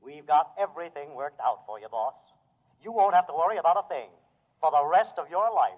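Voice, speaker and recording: An actor playing a cheerful, eager male robot servant in a 1950s radio drama, brisk and chipper. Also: a little high, expressive. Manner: brisk, chipper, keeps calling the other man boss. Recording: dull lo-fi 1950s radio transcription.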